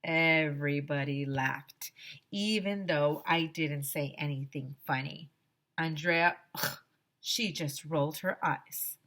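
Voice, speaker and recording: A woman teacher reading a children's chapter book aloud in an expressive, animated storytelling style. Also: deep voice, takes it slowly. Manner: expressive, dramatizes the dialogue.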